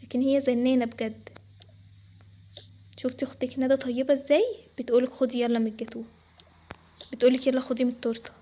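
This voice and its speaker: Egyptian mother played by a woman for a family story: high female voice, rapid delivery, stern and dismissive. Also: little pitch movement, dark tone. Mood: smug, scornful. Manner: scolding, dismissive. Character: harsh, scornful mother who favours one daughter. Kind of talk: acted Arabic family story.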